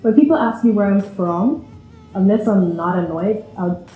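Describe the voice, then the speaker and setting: A woman's voice: mid-range voice, animated, normal speed. A woman in her twenties from Vienna, chatting casually and with a giggly manner in a personal interview. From Vienna, Austria. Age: 20s. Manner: chatty, giggly, breaks into laughter often. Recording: roomy echo, faint music behind.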